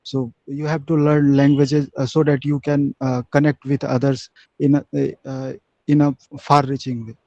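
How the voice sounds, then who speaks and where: A man's voice: medium pitch, little pitch movement, normal speed, darkish tone, no echo, lo-fi sound. A male student with an Indian English accent, a native Maithili speaker, answering his teacher politely with many hesitations.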